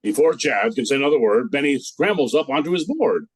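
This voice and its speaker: A man's voice: mid-range voice, expressive, a little fast, breathy edge, no room sound. An older man narrating a scripted radio play in a storytelling style.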